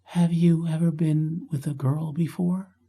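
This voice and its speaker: Man voicing a tender young heroine, raised pitch. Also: steady tone, a little slow, vocal fry, mellow, husky, full character voice. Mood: curious. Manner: earnest, vulnerable. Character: young woman, tender, shy but curious storybook heroine.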